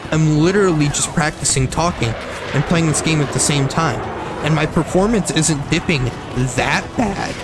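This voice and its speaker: A young man chatting non-stop while playing a shooter game, rambling, repeating and correcting himself, with a slight frog in his throat. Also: a little high. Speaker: gaming streamer. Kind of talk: casual gameplay commentary.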